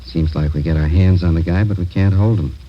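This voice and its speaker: An American man playing a steady, businesslike police captain in a radio drama, matter-of-fact and authoritative. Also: sits low, brisk. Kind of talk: 1950 radio drama acting. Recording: muffled old radio recording, faint noise.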